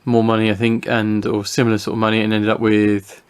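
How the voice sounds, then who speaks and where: A man's voice: lowish voice, completely flat delivery, fairly quick, clean tone. A British man giving a casual, unscripted hobby review, talking through what he shows in a hesitant, rambling way, thinking aloud.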